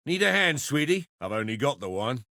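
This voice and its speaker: An actor playing a brash, gleefully violent male pirate brawler in a video game, taunting with a gravelly, forceful swagger. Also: medium pitch, sing-song, fairly quick, no echo, clean studio sound. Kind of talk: video game combat barks.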